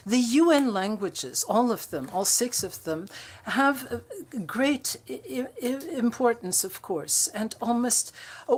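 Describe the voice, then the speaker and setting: A woman interpreting a conference speech live, halting often with fillers and restarts. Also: on the high side. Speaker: simultaneous interpreter.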